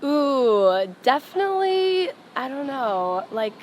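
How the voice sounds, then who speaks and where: A young woman talks casually outdoors, her voice pitched a little high and her sentences loose and full of "like" fillers. Faint street noise sits behind her. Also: big pitch swings, takes it slowly. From Los Angeles, US. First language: Farsi. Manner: chatty, full of "like" fillers, laughs often. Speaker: singer, interview guest. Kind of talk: casual interview chat. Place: outdoors on a sidewalk.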